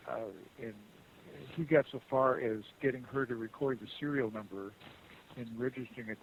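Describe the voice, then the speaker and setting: A man working as a customer service agent on the phone, speaking in a halting, rambling, conciliatory way. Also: mid-range voice. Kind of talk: unscripted phone support conversation. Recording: muffled phone call with hiss.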